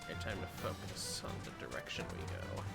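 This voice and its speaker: A man's voice: low-pitched, lively intonation, fairly quick, creaky, over loud music. A man livestreaming a video game with casual chat, muttering to himself as he plays. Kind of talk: casual gameplay chat mixed with reading dialogue aloud.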